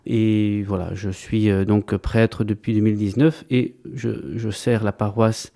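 A man's voice: lowish voice, little pitch movement, unhurried, creaky, warm tone. A man in his thirties, an Orthodox priest from Greece raised in Belgium, answering interview questions hesitantly with frequent fillers and restarts. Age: 30s.

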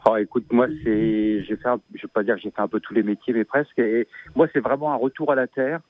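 A Belgian man in his fifties, a farmer guest on a radio show, talking haltingly with many fillers and restarts. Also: medium pitch, fairly even intonation, no echo. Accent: Belgian French. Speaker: asparagus grower guest. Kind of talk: radio interview, casual chat. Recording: tinny phone line.

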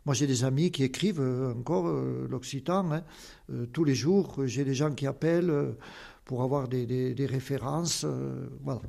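A man's voice: medium pitch, moderate pace, vocal fry, dark tone. An older man with a southern Occitan accent, a guest answering interview questions in an earnest, explanatory way with many fillers. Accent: Occitan southern French.

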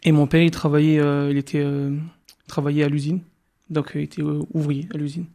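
A man in his thirties from Moselle, a radio guest telling his life story with many pauses and restarts. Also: a little high, little pitch movement, creaky, warm tone. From Moselle, France. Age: 30s. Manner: hesitant, many fillers and restarts.

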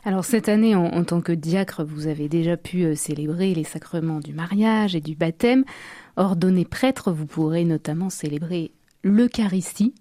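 A woman hosting a radio interview, asking questions in a gentle, measured way. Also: lowish voice, no room sound. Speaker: radio host.

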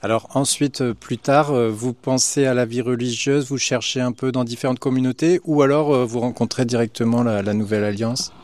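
A man hosting a radio interview, asking questions gently with fillers. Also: medium pitch, fairly even intonation, brisk, hardly any pauses, dry recording.

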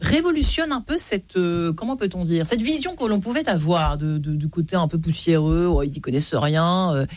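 A man's voice: on the high side, moderate pace, few pauses, no echo. A man hosting a French talk show, asking playful questions of his guests. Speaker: host.

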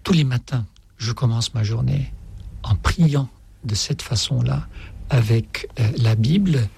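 A man with a Dutch or Flemish accent speaking French, a priest answering reflectively in an interview with deliberate phrasing and repetitions for emphasis. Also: medium pitch, creaky, darkish tone, breathy edge. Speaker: Jesuit priest, author, interview guest.

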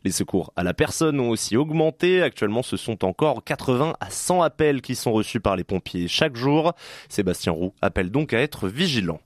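Male newsreader, scripted bulletin, dry close studio sound, mid pitch, even delivery. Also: brisk, no echo. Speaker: radio news presenter. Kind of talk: scripted regional news reading. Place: radio studio. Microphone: studio microphone.

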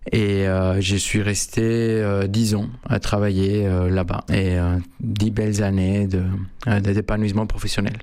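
Man, flat gravelly voice, halting answers, dry sound. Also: on the low side, dry recording. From Mexico. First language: Spanish. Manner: halting, many fillers and restarts. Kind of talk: hesitant interview answers.

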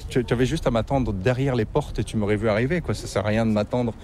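A man's voice: mid-range voice, fast talker, darkish tone. A man with an accent from France, chatting casually and telling anecdotes outdoors in the city. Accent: French from France. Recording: faint outdoor city noise. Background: faint street ambience, vehicles.

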